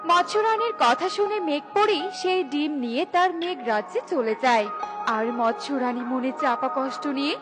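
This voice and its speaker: A woman works as a story narrator, reading scripted lines. Clear background music runs behind her voice. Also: sits high, normal speed.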